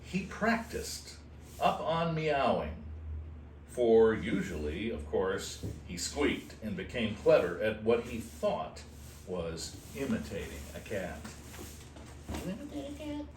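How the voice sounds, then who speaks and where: A man reading a children's storybook aloud expressively, in a playful manner with casual asides. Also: fairly low pitch. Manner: unhurried, animated, playful. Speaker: host reading a picture book aloud to shelter kittens. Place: indoor room at an animal shelter. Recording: roomy, distant mic with faint noise.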